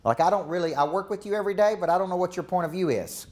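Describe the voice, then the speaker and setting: A man's voice: high voice, racing, a little mellow. A man with a Southern accent giving a live seminar talk as a leadership coach, emphatic and repetitive with a preacher-like cadence.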